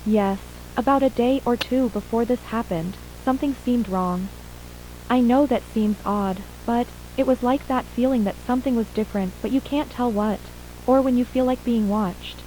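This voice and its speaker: A woman's voice: a little high, normal speed, mellow, natural voice, low-quality recording. A woman playing a shaken mother and attack survivor, plainly recounting events in an acted horror audio drama interview. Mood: subdued, uneasy. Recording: faint hum and hiss.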